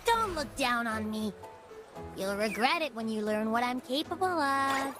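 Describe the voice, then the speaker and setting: A woman's voice: on the high side, animated, measured pace, music in the background. An actress voicing a tiny, cute female creature in a game, cheeky and smugly boastful. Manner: boastful, smug.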